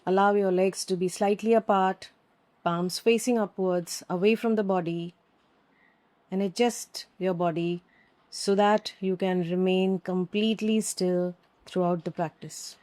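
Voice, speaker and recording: A woman teaching yoga nidra, giving calm, soothing guided meditation instructions with long pauses. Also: mid-range voice.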